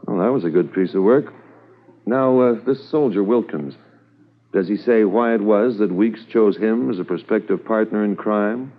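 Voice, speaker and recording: Actor as a low-voiced, authoritative police commissioner. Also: male voice, moderate pace, natural voice. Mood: calm, inquiring. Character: measured, courteous male police commissioner in charge. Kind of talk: 1950s radio drama acting. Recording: tinny old radio transcription.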